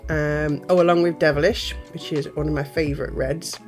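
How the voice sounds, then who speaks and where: A woman's voice: low voice, steady tone, a little fast, darkish tone, soft background music. A woman with a nasal voice from a cold, hosting a nail art tutorial in a chatty way with giggly asides. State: has a cold. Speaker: nail art channel host. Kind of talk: casual tutorial narration.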